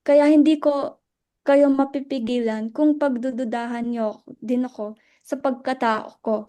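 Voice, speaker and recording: Woman acting as anguished wife, high pitch, warm soft tone, halting, pleading, dramatic reading. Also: steady tone. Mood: pained, resigned. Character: anguished wife Luella, judged and worn out.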